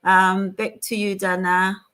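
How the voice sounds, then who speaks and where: A woman from Zimbabwe giving a calm, formal self-introduction on a live online call. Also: medium pitch, takes it slowly, clean tone. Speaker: panelist. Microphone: video call.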